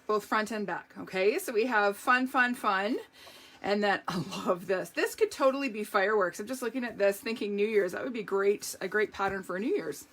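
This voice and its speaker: A hoarse woman hosting a live craft demonstration, chatty and upbeat, greeting viewers by name as she works. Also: mid-range voice, fairly bright tone. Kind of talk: live craft demo chat.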